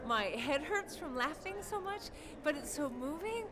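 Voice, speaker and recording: High-voiced woman giving a gushing testimonial. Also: expressive, unhurried, noisy background. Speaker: audience member giving a testimonial. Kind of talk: enthusiastic spoken testimonial. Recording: crowd chatter behind the voice. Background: audience crowd.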